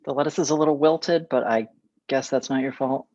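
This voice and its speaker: An actor at a comedy table read playing a passive-aggressive office boss, hesitant, mild and faintly condescending. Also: male voice, medium pitch, fairly quick, a little mellow, no echo, lightly acted. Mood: mildly condescending. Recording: dull lo-fi dry microphone.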